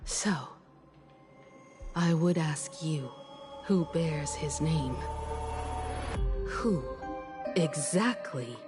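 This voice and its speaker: Slow, low-voiced solemn reserved woman. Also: over music. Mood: solemn, wistful. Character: grave, reserved woman with an absent father.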